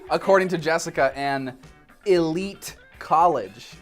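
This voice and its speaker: A man's voice: fairly high pitch, measured pace, soft background music. A young man, bantering casually in a blunt, sarcastic way. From Minnesota. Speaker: vlogger.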